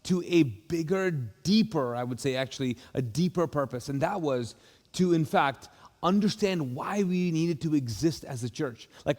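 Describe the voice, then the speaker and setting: A fairly high-voiced man speaks as a pastor in an easy, chatty way and often backtracks and restarts his thoughts. The sound carries a long hall reverb. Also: a little mellow. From Kuwait. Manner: conversational, many fillers and restarts. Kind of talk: casual church welcome talk.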